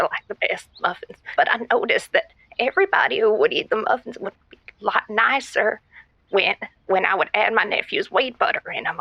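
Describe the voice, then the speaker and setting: A woman's voice: mid-range voice, creaky. Oblivious female radio caller; earnest rambling confession, dull phone-filtered sound, no room echo. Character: earnest, oblivious woman calling a radio show. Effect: radio phone-line filter. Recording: muffled phone call-in line.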